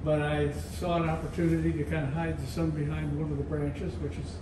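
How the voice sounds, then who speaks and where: Brief: older male photographer, slightly high voice, relaxed talk, recorded from a distance in a roomy gallery. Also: a little fast, background noise. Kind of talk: casual interview chat. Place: art gallery, indoors. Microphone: camera a few feet away.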